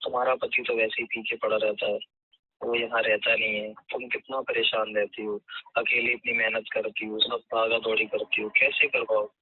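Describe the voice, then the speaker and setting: A man's voice: lowish voice, level tone, moderate pace, breathy edge, no echo. A man playing a pushy, lecherous landlord with an insistent, persuasive way of talking in a staged phone call drama. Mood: coaxing, insinuating. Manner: insistent, hectoring then persuasive. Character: pushy lecherous male landlord, irritated then coaxing.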